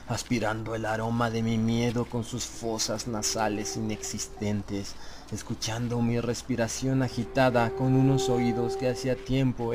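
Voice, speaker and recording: A man reading a first-person horror audiobook as narrator, with dramatic, measured storytelling and deliberate pauses. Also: medium pitch.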